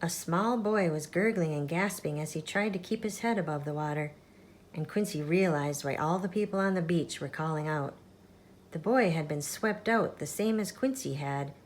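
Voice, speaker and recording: A woman reading a children's picture book aloud as a storytime host, in an expressive, measured storytelling manner. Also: low-pitched, a little hiss or hum. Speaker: storytime channel reader.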